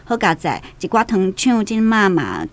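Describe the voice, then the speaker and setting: A woman's voice: medium pitch, very animated, moderate pace. A woman with a Taiwanese accent giving explanatory commentary on a book for radio.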